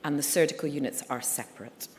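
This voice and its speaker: A middle-aged woman with a Scottish accent gives a conference presentation, her voice sitting low. She talks candidly, with plenty of ums and uhs. Her words carry with a lingering reverb and faint background noise. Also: fast talker, a little mellow. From Scotland. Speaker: liver surgeon giving a conference talk. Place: conference hall. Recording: reverberant hall.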